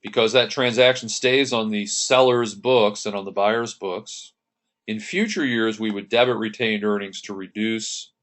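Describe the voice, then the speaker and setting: A man teaching an accounting lesson, working through the entries one step at a time and clearing his throat now and then. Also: on the low side, fairly even intonation, unhurried, a bit bright. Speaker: accounting instructor. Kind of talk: lecture over slides.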